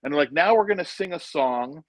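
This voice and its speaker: Middle-aged male guest for a chat show. Slightly higher pitch, dry recording, loose joking storyteller. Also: brisk, no echo. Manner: joking, rambling storyteller, speeds up when excited.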